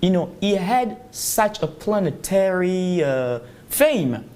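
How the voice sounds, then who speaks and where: A woman panelist with a slightly low, highly animated voice debates at a round table. She argues emphatically, swinging her intonation widely and repeating key phrases to press her point. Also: takes it slowly.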